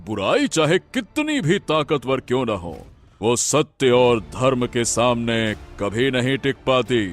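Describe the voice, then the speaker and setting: A man playing a wise, solemn elderly holy man in a cartoon story, speaking in a grave, measured, moralizing way. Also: medium pitch, sing-song, faint music behind, voice pushed down. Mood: stern, solemn.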